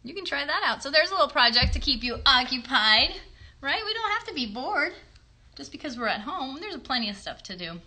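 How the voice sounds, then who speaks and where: A woman's voice: sits high, very animated, fairly quick, sharp tone. A woman in her thirties chatting encouragingly with children as a puppet show host, with simple questions and praise. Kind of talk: casual, encouraging chat with children. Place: bedroom at home. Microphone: phone a short distance away.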